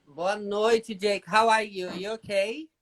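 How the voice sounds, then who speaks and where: A Brazilian man hosts a live stream in a casual, animated way and speaks straight to his audience. His Brazilian Portuguese accent carries into his English. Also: high voice, slow. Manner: chatty, animated, addresses viewers as "gente". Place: home in the UK.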